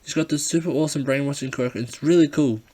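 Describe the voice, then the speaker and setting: A man's voice: on the high side, fast talker, mellow, pitched above the performer's own voice, lightly acted. A man voicing a timid, earnest schoolboy who stammers and rambles to placate others, in an amateur audiobook reading. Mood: rambling, eager. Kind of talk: amateur fan fiction audiobook character voice.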